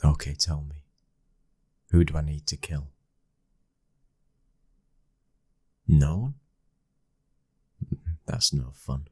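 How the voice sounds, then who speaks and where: Casting: male actor as devoted young British mafia son, very low husky voice, tender and protective, ASMR boyfriend roleplay. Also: no room sound, exaggerated voice. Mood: calm, teasing. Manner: soft, breathy, often near-whispered, tender.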